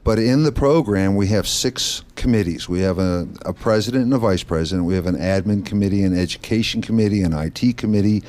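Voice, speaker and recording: A middle-aged man from the Netherlands speaks in a very low voice, answering interview questions on a radio set. He explains things steadily and methodically, stopping now and then to restart a phrase. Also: dark tone. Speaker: guest, branch manager of a nonprofit. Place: studio set in a mall.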